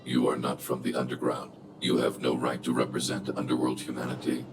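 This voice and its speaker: An imposing robot sentinel with an exceptionally low pitch. The delivery is formal, clipped and almost without inflection, coldly logical as in a game cutscene, over clear background music. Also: male voice, completely flat delivery, fairly quick. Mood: stern, guarded. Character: cold, imposing, logical guardian robot, protective, unyielding. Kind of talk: video game cutscene acting.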